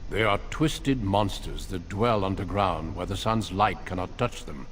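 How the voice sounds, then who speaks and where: An actor playing a grave, courteous warrior recruiter in a fantasy game, speaking formally and earnestly. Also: male voice, sits low, measured pace, fairly bright tone, slight background noise. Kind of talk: fantasy video game voice acting.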